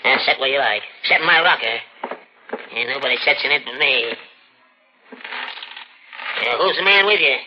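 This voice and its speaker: A man's voice: mid-range voice, animated, moderate pace, long pauses, natural voice. An actor playing a suspicious, grumbling old American country man in a vintage radio drama, speaking curtly. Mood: grumbling, dismissive. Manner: grumbling, curt. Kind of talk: 1940s radio drama acting. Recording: dull old radio recording, faint noise.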